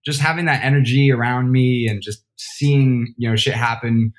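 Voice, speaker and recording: A relaxed man chatting casually in English, his second language, with an American accent. His first language is French. He laughs easily and swears in passing. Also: medium pitch, normal speed. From France. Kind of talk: casual chat.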